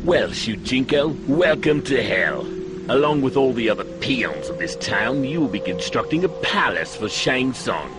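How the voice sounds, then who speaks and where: A man's voice: mid-range voice, very animated, a little slow, music in the background. A man playing a bullying mercenary thug in a video game, threatening, curt and mocking. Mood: menacing. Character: menacing male mercenary thug, bullying and sardonic. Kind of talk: video game cutscene acting.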